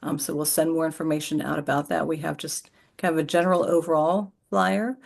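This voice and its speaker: A woman's voice: low-pitched, level tone, a little fast, creaky. A woman presenting in a webinar, earnest and reassuring, repeating key points for providers. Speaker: early learning coalition staff presenter.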